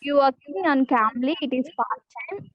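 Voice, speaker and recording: A woman from South India with a South Indian accent, a housewife learning English, speaking in halting simple sentences on a video call. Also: high-pitched, animated, no room sound. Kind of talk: hesitant learner conversation. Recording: dry, dull lo-fi call audio.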